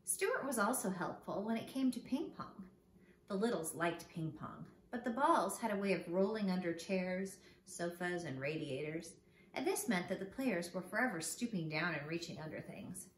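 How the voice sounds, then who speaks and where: A woman's voice: lowish voice, animated, moderate pace. A woman reading a children's storybook aloud expressively, speaking to young listeners as a teacher would. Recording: echoey room with background noise.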